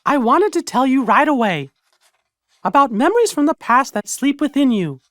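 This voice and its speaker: A man's voice: very high voice, sing-song, moderate pace, no echo, clean studio sound, full character voice. A man voicing a kindly little male cricket who narrates and reassures in a video game. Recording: game audio over loud music.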